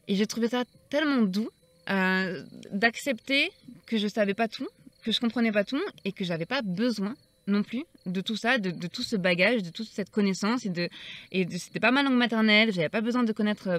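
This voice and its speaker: A thoughtful woman speaks in a middle-pitched voice, feeling her way through her ideas about poetry in a gentle, reflective monologue. The sound is dry and close, with no room around it. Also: no room sound. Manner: thoughtful, searching for words, soft-spoken. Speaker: poetry channel host.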